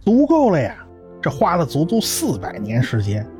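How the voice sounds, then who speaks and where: A man's voice: on the high side, wildly swooping pitch, normal speed, a little mellow, faint music behind. A man playing a cocky, talkative scientist in a radio drama, with a blustering, explanatory way of talking. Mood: emphatic. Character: cocky, talkative male scientist and science populariser, brash and lively. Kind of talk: Chinese radio drama acting.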